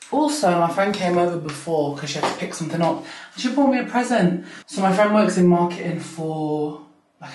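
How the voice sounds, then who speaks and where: Deep voiced girl chatting away to her camera at home, gushy and giggly, roomy echo. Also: a little mellow, slight background noise. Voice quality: deep for a woman. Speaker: vlogger. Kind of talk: casual chat to camera. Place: home bathroom at night, then a bright kitchen. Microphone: camera on a low stand. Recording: echoey room, camera a bit far.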